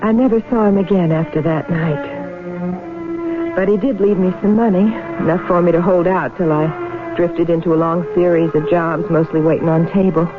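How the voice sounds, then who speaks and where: An actress playing a weary, guarded young waitress and farm wife in a wistful 1970s radio drama. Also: female voice, fairly low pitch, normal speed, close to an everyday voice. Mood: wistful, confessional. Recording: tinny 1970s radio broadcast, music behind.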